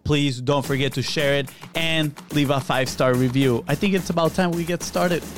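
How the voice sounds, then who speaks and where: A man co-hosting a podcast, energetic and showy as he talks to the listeners. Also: fairly high pitch, moderate pace, faint music behind. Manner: energetic, showman-like. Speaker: podcast co-host. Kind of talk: casual podcast hosting, hype intros.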